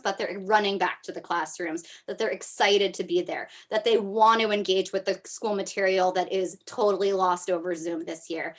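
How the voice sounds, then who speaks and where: A woman's voice: mid-range voice, fairly bright tone. A woman running for a school council, answering at a candidate forum over a video call, earnest and repeating her points.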